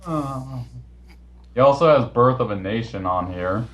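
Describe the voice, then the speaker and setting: A man's voice: mid-range voice, expressive, a little slow, a bit bright. A man hosting a casual online voice chat, talking in an informal, matter-of-fact way. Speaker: host of the recorded chat. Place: online voice call.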